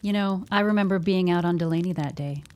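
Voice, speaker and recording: A gossipy neighbour woman from a period audio drama, played by an actress. Her voice sits a little low. She speaks in a confiding, matter-of-fact way, knowing and suspicious of everyone. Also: quick pace, a little mellow, lightly acted.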